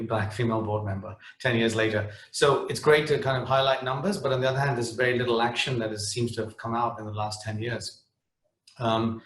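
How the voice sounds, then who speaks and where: A middle-aged man from the Netherlands talks on a webinar panel, halting and full of restarts and fillers. His voice sits at an ordinary middle pitch. The room around him gives a noticeable echo. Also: fairly bright tone. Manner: hesitant, many restarts and fillers.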